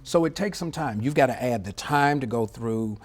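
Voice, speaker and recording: A middle-aged man with a mid-range voice gives expert commentary in a TV interview. He is articulate and measured, leaning on key words for emphasis and checking that the listener follows. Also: mid-range voice, expressive. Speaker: former air traffic controller, interview guest. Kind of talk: live TV news interview, explanatory commentary.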